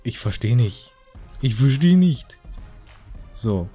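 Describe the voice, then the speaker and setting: A man's voice: medium pitch, big pitch swings, slow pace, warm tone, husky, soft background music. A man giving casual, joking game commentary in a rambling, slangy, mock-indignant way. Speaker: gaming video host.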